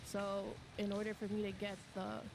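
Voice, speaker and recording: A woman's voice: mid-range voice, completely flat delivery, moderate pace, creaky, noisy background. A woman from Mexico speaking casually and defensively as a guest in a panel debate. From mostly Mexico, born in Texas. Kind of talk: casual debate, answering questions.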